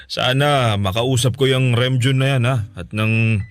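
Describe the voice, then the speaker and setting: A man's voice: medium pitch, unhurried, close to an everyday voice. An actor playing a sick but good-humored father who teases his daughter, in a radio drama. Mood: teasing. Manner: easygoing, teasing, calm. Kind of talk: Filipino radio drama acting.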